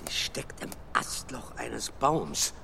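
An actor playing a slow-witted, sneering robber with a gravelly voice in a children's radio play. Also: male voice, medium pitch, big pitch swings, full character voice. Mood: sullen, mocking. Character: slow-witted, mocking male forest robber. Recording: long reverb, noisy ambience.

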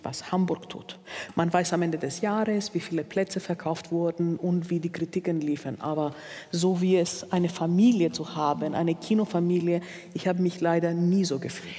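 Panel guest: a low-pitched woman with a warm, mellow tone who keeps searching for words, heard in a reverberant hall. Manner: searching for words, restarting sentences. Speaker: opera singer, panel guest. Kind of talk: panel discussion.

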